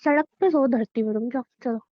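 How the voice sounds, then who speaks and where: A woman's voice: on the high side, a little fast, no room sound, natural voice. A young woman playing a bossy, teasing wife who nags her husband insistently in a naturalistic phone-call skit. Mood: bossy, dismissive. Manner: nagging, insistent, repeats herself. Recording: dull, lo-fi phone call recording.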